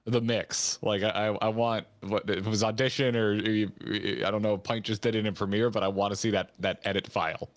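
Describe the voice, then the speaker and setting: A man's voice: fairly low pitch, fairly even intonation, normal speed, few pauses, no echo. A middle-aged man co-hosting a gaming reaction stream with casual, off-the-cuff commentary.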